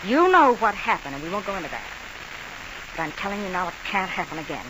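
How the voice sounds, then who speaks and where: A coolly determined nightclub dame out for revenge, played by an actress in an old radio thriller. She talks tough and clipped, over clear hiss from a vintage recording. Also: mid-range voice, very animated, quick pace, close to an everyday voice. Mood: steely, demanding. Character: hard, vengeful nightclub chorus woman, coolly determined. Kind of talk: 1940s radio crime drama acting.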